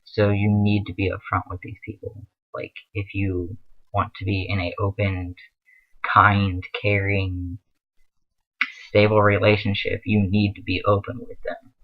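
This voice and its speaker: A man's voice: on the low side, level tone, a little slow, narrow, tinny audio. A young man giving earnest, rambling advice in a casual vlog, with many fillers. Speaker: vlogger.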